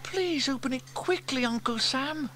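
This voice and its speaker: Man doing an eager, high-voiced little girl. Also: huge pitch swings, normal speed, a bit bright, a little hiss or hum, heavily acted. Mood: pleading. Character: earnest, eager little girl, kind to others. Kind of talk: children's storybook character voice.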